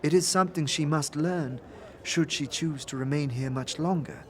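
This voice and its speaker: A woman's voice: sits low, normal speed, lightly acted. An actress playing a reserved otherworldly woman who speaks in a stilted, careful, formal way in an audio drama. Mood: grave, careful. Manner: stilted, careful, formal, repeats own name. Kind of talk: scripted audio drama acting.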